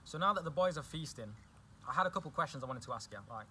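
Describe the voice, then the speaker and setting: Young English man, quick and chatty, crisp bright tone, slight background hiss. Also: on the high side, animated. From England. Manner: chatty, repeats himself, says "do you know what I mean". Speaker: guest. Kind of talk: casual chat.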